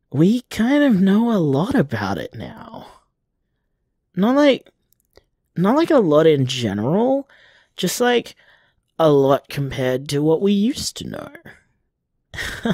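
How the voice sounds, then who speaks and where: A man voicing an affectionate young man who leaves a soft, rambling late-night voicemail for his boyfriend in an audio drama. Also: mid-range voice, no room sound, clean studio sound, heavily acted.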